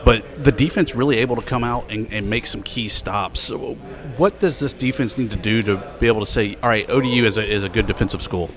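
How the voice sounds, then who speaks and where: Man host with a low voice. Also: a little fast, hardly any pauses. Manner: long winding questions. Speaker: podcast co-host. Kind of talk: media day interview.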